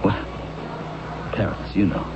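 An actor playing a young hip musician and husband in a radio drama, earnest and defensive, with halting slangy talk. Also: male voice, lightly acted. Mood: evasive, earnest. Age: young adult. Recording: dull, noisy old radio broadcast.

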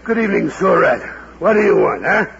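A man's voice: high voice, sing-song, creaky, a loud crowd, full character voice. An actor playing a kindly, grumbling, world-weary older man in a golden age radio drama, theatrical and muttering. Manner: theatrical, slow, muttering asides. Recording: muffled, very noisy vintage radio broadcast.

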